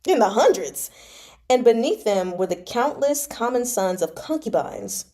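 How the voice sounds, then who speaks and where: A woman hosting a read-along, reading a novel aloud and reacting in an informal, expressive, slangy way. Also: fairly high pitch, measured pace. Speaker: host of a live book read-along.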